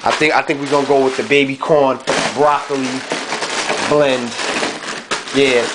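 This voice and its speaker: A young man in a dim home kitchen talking through his cooking in a relaxed, slangy way, recorded on a handheld camera mic. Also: medium pitch, unhurried, very noisy. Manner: laid-back slangy chatter, frequent "you know what I'm saying". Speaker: home cook vlogger. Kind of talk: casual cooking vlog. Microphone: handheld camera mic held close.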